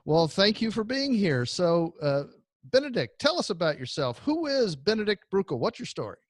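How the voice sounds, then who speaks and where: A man hosts a radio interview with a friendly, upbeat manner. His voice is fairly high and moves lively up and down. The sound is dry and close. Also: moderate pace, dry recording. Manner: friendly, upbeat, asks questions. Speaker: radio show host. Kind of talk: interview hosting.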